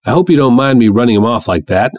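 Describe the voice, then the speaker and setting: A man's voice: mid-range voice, animated, fast, darkish tone, dry recording, dull audio, voice pushed up, natural voice. A man voicing a brash, jovial, sly showman promoter with folksy salesman patter in a pulp story reading. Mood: confiding. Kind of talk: dramatic reading of pulp fiction.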